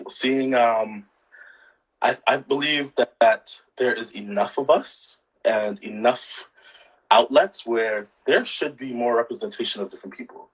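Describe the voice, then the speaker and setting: Husky relaxed man chatting as a guest. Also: medium pitch, low-quality recording. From Canada. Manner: relaxed, laughing often, many fillers. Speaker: guest singer. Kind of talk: casual interview chat.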